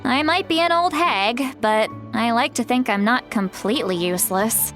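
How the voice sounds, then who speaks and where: A woman's voice: a little high, a bit bright, close to an everyday voice. A woman voicing an ancient, self-mocking sorceress in a video game who still sounds like a young woman. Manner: unhurried, teasing, self-mocking. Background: game music.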